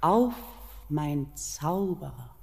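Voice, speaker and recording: A woman's voice: sits low, sing-song, very slow, vocal fry, full character voice. An actress playing a mysterious mountain queen in a classic German radio play, solemn and incantatory, tender yet commanding. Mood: tender urging. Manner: solemn, incantatory verse delivery, hushed at times. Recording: radio play with long hall reverb.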